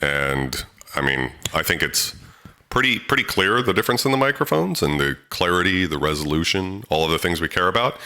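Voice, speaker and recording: Very deep-voiced man explaining mics. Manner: relaxed, chatty, frequent fillers. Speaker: audio tutorial host. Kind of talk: casual how-to explainer. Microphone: handheld studio mic, close.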